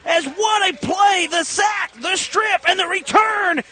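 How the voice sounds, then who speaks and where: A man with an American accent calling live football play-by-play on radio in emphatic, punchy phrases that build toward shouted calls. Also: very high-pitched, slow.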